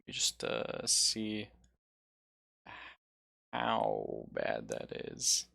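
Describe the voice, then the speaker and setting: A man's voice: on the low side, big pitch swings, drawn-out words, creaky, bright, forceful tone, no echo, clean studio sound. A man acting as game master, casually explaining rules and narrating the action of a tabletop role-playing game. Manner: explains rules conversationally, describes gory action.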